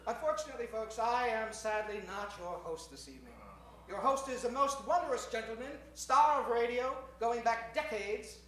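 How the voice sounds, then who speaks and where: Man, very high voice, distant echoing hall, theatrical stage emcee, mock-formal and courteous. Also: animated, moderate pace, a bit bright. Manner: courteous, mock-formal old-time radio showman. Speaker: stage announcer warming up an improv show. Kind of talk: theatrical live emceeing to an audience. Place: stage in front of a live audience. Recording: echoey hall, distant microphone.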